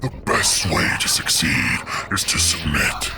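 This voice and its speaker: Monstrous fascist bird-boss for audio drama: rock-bottom pitch, modulated, chanting and cryptic, very noisy backdrop. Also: male voice, animated, unhurried. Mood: ominous, commanding. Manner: chanting, cryptic, ominous pronouncements. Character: monstrous corporate bird creature, deranged fascist former boss. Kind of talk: surreal audio drama monologue. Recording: heavy noise and ambience over voice.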